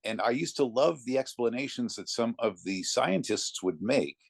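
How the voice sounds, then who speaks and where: A man talking conversationally as an interview guest, telling anecdotes in a reflective way with thoughtful pauses. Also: mid-range voice, animated, creaky, no room sound, clean studio sound. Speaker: interview guest, narrator of classic readings.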